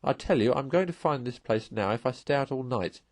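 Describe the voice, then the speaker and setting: A man's voice: mid-range voice, expressive, a little fast, no echo, natural voice. A man voicing a kindly, decisive rat from a storybook, speaking in an old-fashioned, chummy and reassuring way. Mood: hearty, decisive. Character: kindly, hearty, decisive male rat, loyal companion.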